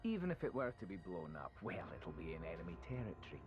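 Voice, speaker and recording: A man's voice: low voice, sing-song, quick pace, over loud music. A man playing a deferential, somewhat nervous military engineer in a video game, formal and dutiful. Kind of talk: video game cutscene acting.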